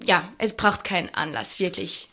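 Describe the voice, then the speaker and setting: A young woman from France with a French accent, a podcast guest who speaks warmly and hesitates often. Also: on the low side, moderate pace. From Champagne, France. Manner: warm, hesitant, many fillers. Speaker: guest, champagne brand ambassador. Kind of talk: podcast interview.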